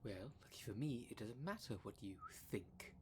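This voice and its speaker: A man's voice: medium pitch, expressive, normal speed, noisy background, lightly acted. A man playing a courteous, pedantic dream demon in an ASMR roleplay, speaking in formal, wordy, precise phrases. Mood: irritated. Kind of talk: ASMR roleplay audio drama.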